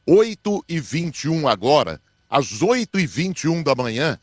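A man talking as a radio sports commentator on a morning show, explaining matches in a measured way. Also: on the high side, sing-song, no room sound, clean studio sound.

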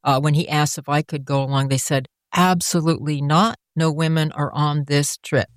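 A woman's voice: low-pitched, fairly even intonation, moderate pace, no room sound, clean studio sound. Reflective middle-aged woman. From United States. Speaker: interviewee. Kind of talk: personal storytelling.

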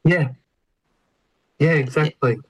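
Relaxed Australian man telling his story. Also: fairly high pitch, takes it slowly, breathy edge, lo-fi sound. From Melbourne, Australia. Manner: relaxed, many ums, laughs easily. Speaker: interview guest. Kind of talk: conversational storytelling.

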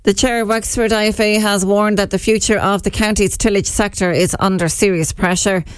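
A woman with an Irish accent reading a radio news bulletin in a steady, measured delivery. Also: mid-range voice, clean tone, no room sound. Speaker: radio newsreader.

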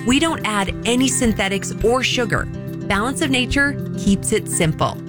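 A woman announcer reading scripted ad copy in a bright, inviting manner. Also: fairly high pitch, expressive, normal speed, over music. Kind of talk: scripted ad voice-over.

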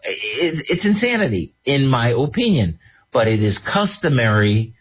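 Husky man hosting a radio show. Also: medium pitch, unhurried, dull audio. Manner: animated, mocking asides in put-on voices. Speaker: radio show host and estate attorney. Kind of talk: casual radio monologue.

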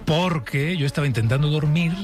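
A man's voice: fairly high pitch, fairly quick, over music. A man with a Spanish accent from Spain, hosting a casual radio chat in a playful, teasing manner, as a studio host.

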